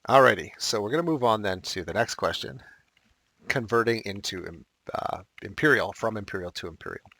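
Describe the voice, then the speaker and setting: A male math teacher walking through unit conversions step by step, with casual asides and a slightly raspy, dry-throated voice. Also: lowish voice, expressive, a little fast, bright, forceful tone, no echo. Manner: explains step by step with asides and fillers. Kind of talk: recorded math lesson walkthrough.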